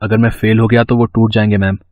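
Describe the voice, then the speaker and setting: A man's voice: on the low side, little pitch movement, fast, a little mellow, natural voice. Man playing a fawning, infatuated college boy. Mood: pleading. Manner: hesitant, pleading, fawning. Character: infatuated 21-year-old male college student, sly.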